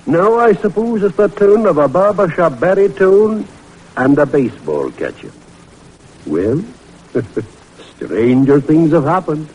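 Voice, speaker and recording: Wry professor, animated swooping voice. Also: male voice, a little high, dull audio. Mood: dry, teasing. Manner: deliberate, musing, drily humorous. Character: wry, skeptical senior professor, gently teasing younger men. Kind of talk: old-time radio drama acting. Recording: vintage radio recording with hiss.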